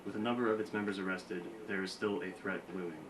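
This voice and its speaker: A man's voice: low-pitched, steady tone, fast talker, slight background noise. A man official speaking emphatically and critically in a news soundbite. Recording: loud crowd behind.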